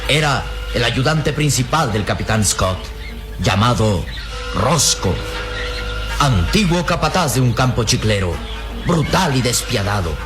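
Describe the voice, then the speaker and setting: A man's voice: medium pitch, animated, unhurried. A man narrating a radio serial in a dramatic scripted style. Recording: clear music bed behind narration.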